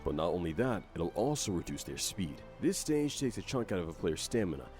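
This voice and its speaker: A man's voice: low-pitched, big pitch swings, a little fast, a little mellow. A man delivering scripted explainer narration for a video game update. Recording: faint music under narration. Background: faint music.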